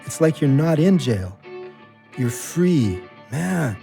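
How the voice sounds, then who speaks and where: A man's voice: a little high, sing-song, slow pace, vocal fry, warm tone, soft background music, full character voice. A man playing an earnest, plain-spoken ex-convict and loyal friend in a naturalistic audio drama.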